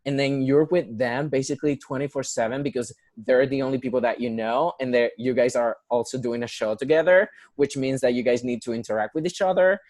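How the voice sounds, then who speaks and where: Young man with a Puerto Rican accent, mid-pitched voice, dry close sound, earnest and chatty with lots of restarts. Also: brisk, hardly any pauses, a little mellow, dry recording. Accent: Puerto Rican Spanish. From Puerto Rico. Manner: earnest, many fillers and restarts. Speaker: guest, musical theater performer. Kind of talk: casual conversational interview.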